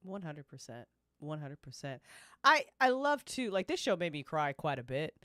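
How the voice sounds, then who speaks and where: A woman's voice: low-pitched, very animated, moderate pace, fairly bright tone, no echo, clean studio sound. A woman hosting a casual podcast chat, affirming and emphatic. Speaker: podcast host. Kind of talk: casual chat about a TV show.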